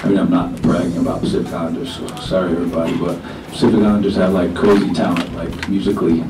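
A man from New Zealand, a reggae band singer in his thirties, answering panel questions in a relaxed, rambling way with many fillers, speaking into a table mic in a meeting room. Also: deep voice, fast talker, echoey room, recorded from a distance, background noise.

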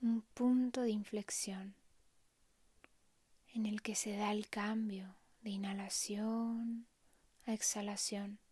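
A woman softly narrating a guided meditation in a hushed, calm, breathy way, leaving long pauses. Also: medium pitch, no echo.

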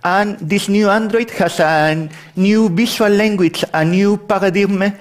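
A man's voice: high voice, measured pace. A man from Spain with a Spanish accent, giving a technical conference talk in a large hall, often restarting his sentences. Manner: apologetic about his English, frequent restarts. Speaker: conference speaker.

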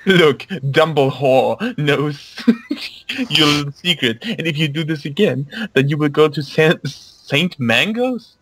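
A man's voice: fairly high pitch, expressive, slow pace, a bit bright, breathy edge, dry recording, heavily acted, low-quality recording. A man doing a brash demanding teen wizard. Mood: mock-angry. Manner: mock-dramatic, crude.

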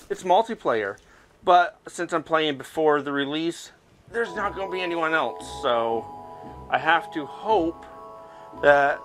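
A man's voice: a little high, a bit bright. A middle-aged man hosting a gaming video, explaining things casually with a self-deprecating manner as he plays. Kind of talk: casual gameplay commentary. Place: indoors, recording while playing VR game. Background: game music.

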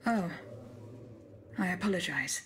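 A woman voicing a courteous female automaton bodyguard in a video game, speaking in a measured, formal and restrained way. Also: on the low side, lively intonation, fairly bright tone, slight background noise. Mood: composed, formal. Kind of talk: video game cutscene acting.

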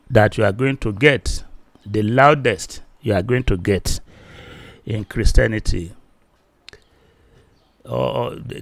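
A man in his fifties with a Nigerian Yoruba accent, giving a solo teaching video into a close desk microphone, slow and halting with many fillers, growing louder and more forceful as he goes on. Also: lowish voice, gravelly, dry recording. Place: small indoor room.

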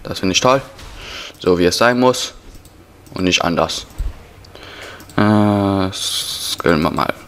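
A man's voice: sits low, slow, long pauses, fairly bright tone, smooth. A young man with a cold, casually commentating his gameplay in slang, muttering to himself. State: has a cough and a cold. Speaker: let's player. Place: indoors at a computer, voice over gameplay. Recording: noisy home recording, keyboard typing.

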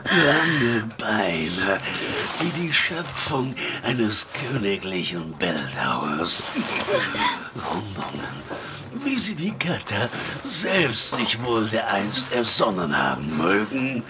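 A fat lecherous slaver in a fantasy radio play, super slow drawl, voice swoops everywhere, gloating. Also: male voice, fairly low pitch, sing-song, very noisy. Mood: lustful. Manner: drawling, gloating, leering, chuckling. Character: fat, boastful, lecherous male mercenary turned slaver. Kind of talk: fantasy audio drama acting.

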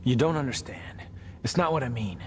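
A man's voice: mid-range voice, animated, a little fast, a little hiss or hum, natural voice. Man playing a cocky, wry middle-aged hunter. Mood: firm. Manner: wry, casual, commanding. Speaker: game character actor. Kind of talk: video game cutscene acting.

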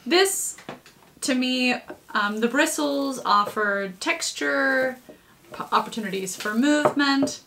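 A woman presents a demonstration at a very unhurried pace. Her voice sits fairly high, and her phrasing is deliberate with a touch of theatre. There is clear noise and some clicking in the recording. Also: bright, forceful tone. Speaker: puppetry presenter. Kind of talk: instructional demonstration with a co-host. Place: home kitchen.

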